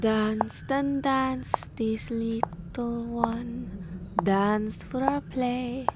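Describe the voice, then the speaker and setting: A woman playing an eerie, playful vampire sister in a horror audio drama, coaxing in a breathy near-whisper. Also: a little high, steady tone, extremely slow, very noisy. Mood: hypnotic coaxing.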